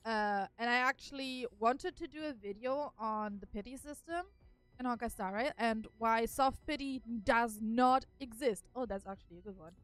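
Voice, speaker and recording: A woman's voice: on the high side, moderate pace, fairly bright tone, clear, resonant tone. A young woman with a German accent, casually explaining a game as she streams, chatty with many fillers. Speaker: gaming streamer. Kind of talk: casual gameplay commentary. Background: faint game music.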